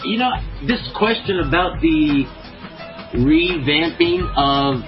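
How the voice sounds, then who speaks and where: A man's voice: fairly high pitch, lively intonation, takes it slowly, dull audio, faint music behind. A man guest on a podcast, talking in a laid-back, rambling way, full of swearing and fillers. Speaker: podcast guest, show promoter. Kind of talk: casual chat.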